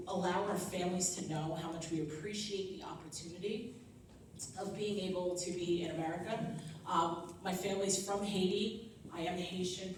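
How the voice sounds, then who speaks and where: A woman's voice: fairly low pitch, a little mellow, slight background noise. A young woman from Long Island giving a speech at a political training event, earnest and upbeat, with frequent "um" and "like". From Long Island, New York. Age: young adult. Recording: echoey room, voice picked up from far off.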